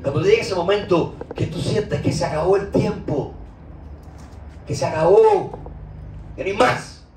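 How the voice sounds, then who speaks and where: High-voiced Cuban man preaching in echoey room. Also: measured pace, long pauses, a little mellow, slight background noise. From Cuba. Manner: impassioned, with loose conversational asides. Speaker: spiritual teacher. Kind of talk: informal sermon. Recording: roomy echo, distant mic.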